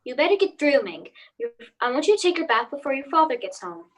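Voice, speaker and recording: A woman's voice: high voice, sing-song, fast, slight background noise. A woman playing an anxious, hesitant suburban housewife and mother in a radio play. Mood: worried. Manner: hesitant, brooding, theatrical.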